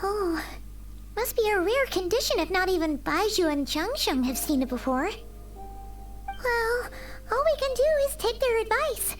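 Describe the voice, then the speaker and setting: Squeaky little fairy companion. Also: female voice, normal speed, faint music behind. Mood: concerned, reassuring. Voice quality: squeaky, childlike. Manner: refers to self in third person, animated. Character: small childlike female fairy companion, excitable and caring. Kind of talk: video game voice acting.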